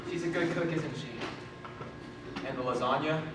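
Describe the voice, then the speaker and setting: A man's voice: on the high side, moderate pace, close to an everyday voice. A man acting an eager, awkward new father in a stage comedy, hesitant and anxious to please, in an echoey room. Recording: echoey, noisy room recorded from far off.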